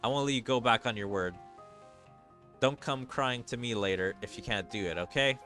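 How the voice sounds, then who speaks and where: A man's voice: medium pitch, moderate pace, over music, close to an everyday voice. A man voicing a commanding, teasing male demon lord in an anime game. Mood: haughty.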